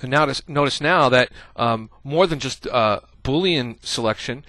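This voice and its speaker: A man's voice: mid-range voice, moderate pace, pushed and bright, dry recording. A man giving a casual screencast coding tutorial, thinking aloud as he types, with many "uh" fillers. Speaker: programming instructor.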